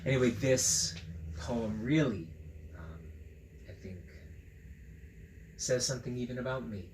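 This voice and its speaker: Male children's story reader, unhurried and theatrically silly, recorded in an echoey room with noticeable noise. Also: mid-range voice, a little mellow. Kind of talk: read-aloud and chat for children. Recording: roomy echo, clear background noise.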